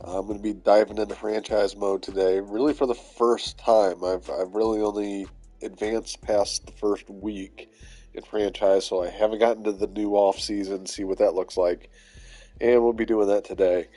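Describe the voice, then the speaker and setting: Gaming channel host, male, low-ish voice, stuffy and under the weather, relaxed think-aloud commentary with faint music underneath. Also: normal speed. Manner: laid-back, thinking aloud with fillers. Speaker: gaming YouTuber. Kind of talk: casual gameplay commentary.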